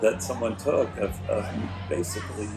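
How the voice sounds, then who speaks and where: Middle-aged man, low gravelly voice, halting storyteller, echoey room with music underneath. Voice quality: raspy. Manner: hesitant, many restarts and fillers. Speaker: interview guest. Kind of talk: casual storytelling interview.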